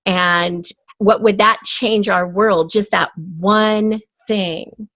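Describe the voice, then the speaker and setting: A woman's voice: mid-range voice, fairly even intonation, extremely slow, a bit bright, no room sound. A woman talking as a guest in a conversational interview, explaining her ideas thoughtfully. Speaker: podcast guest, audio drama writer.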